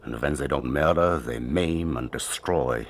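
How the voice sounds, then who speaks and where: An actor playing a stern, protective older father in a radio drama, grave and warning, with a husky voice. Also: very deep voice, a little slow, a little hiss or hum, heavily acted.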